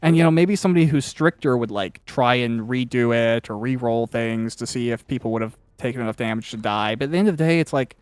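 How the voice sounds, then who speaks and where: A man interviews in a loose, chatty style, wandering through anecdotes with the odd casual swear. His voice sits at an ordinary male pitch, recorded dry with hardly any room sound. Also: fast, few pauses, darkish tone, smooth, no echo. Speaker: podcast interviewer and author.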